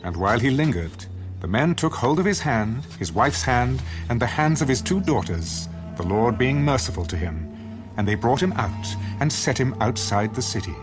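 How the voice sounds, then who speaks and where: Animated solemn male narrator over music. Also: medium pitch. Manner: solemn, measured storytelling. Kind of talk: dramatic scripted scripture narration.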